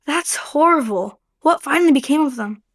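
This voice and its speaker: An earnest young girl, played by a woman asks probing questions in a bright, high voice. The radio play recording is dry and close. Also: lively intonation, normal speed, no room sound.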